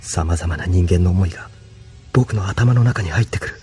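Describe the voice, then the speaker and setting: A man's voice: deep voice, moderate pace, mellow, close to an everyday voice. An actor playing an intense young male pilot in battle, in dramatic anime audio drama style. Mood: troubled. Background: music.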